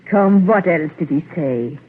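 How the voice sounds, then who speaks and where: A woman's voice: low voice, animated, unhurried. A woman acting in a 1940s radio drama as a fond wife who prods her husband with eager questions. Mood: coaxing, proud. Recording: muffled, noisy 1940s radio recording.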